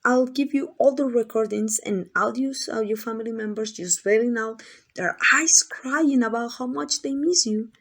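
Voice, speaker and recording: A woman's voice: fairly high pitch, moderate pace, fairly bright tone. A woman playing a lovesick, possessive female kidnapper in an intimate ASMR roleplay, doting and rambling in a flustered, coaxing way. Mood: insistent, adoring. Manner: coaxing, rambling, hesitant asides.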